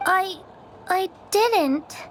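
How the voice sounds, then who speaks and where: A woman's voice: very high voice, expressive, drawn-out words, a little hiss or hum, exaggerated voice. A woman voicing a timid little girl in a video game, hesitant and stammering. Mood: frightened, guilty. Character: timid, sickly little girl, easily scolded.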